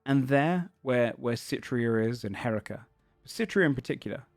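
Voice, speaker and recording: A man's voice: mid-range voice, lively intonation, moderate pace, dry recording, soft background music. A man narrating a tabletop game as game master, describing the scene in a searching, descriptive way.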